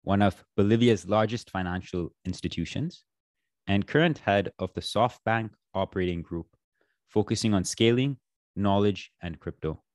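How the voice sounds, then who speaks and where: A man's voice: fairly low pitch, moderate pace, no echo, clean studio sound. A man hosting an interview, reading a polished formal introduction and asking his guest questions. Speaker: interview host.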